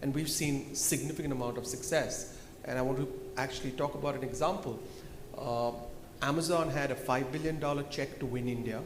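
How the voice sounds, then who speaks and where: A man on a conference panel, speaking confidently as he pitches his company's results. His voice carries through a large hall with a long, roomy echo, and loud ambient noise sits underneath. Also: fairly even intonation, fairly quick.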